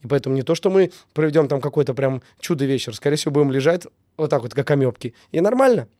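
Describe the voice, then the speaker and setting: A man getting over a cold talks quickly and earnestly in a casual interview. His voice sits fairly high but sounds mellow, and the recording is dry and close. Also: expressive, dry recording. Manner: earnest, repeats key words, many fillers. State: recovering from a cold. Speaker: business owner, guest.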